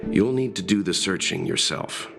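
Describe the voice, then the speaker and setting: A man's voice: fairly low pitch, moderate pace, vocal fry. A man playing a thoughtful, reserved traveller in a video game, with a polite, formal manner. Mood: thoughtful, careful. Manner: formal, considerate. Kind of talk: scripted video game dialogue. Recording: music behind the voice.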